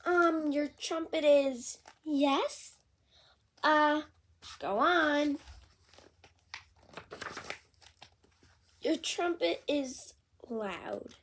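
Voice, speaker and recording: A reader voicing a gentle, honest male storybook elephant who speaks haltingly and carefully. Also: sits high, slight background noise, full character voice. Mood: hesitant.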